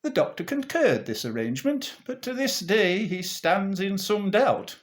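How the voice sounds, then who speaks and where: A man reciting a comic verse monologue in a theatrical, measured storytelling manner. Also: high voice, expressive. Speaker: monologue performer.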